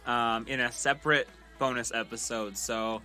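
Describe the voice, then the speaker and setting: A man's voice: mid-range voice, fairly even intonation, moderate pace, bright, forceful tone. A man hosting a podcast in a casual, earnest and enthusiastic way, talking directly to listeners. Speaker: podcast host and audio drama creator. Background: background music.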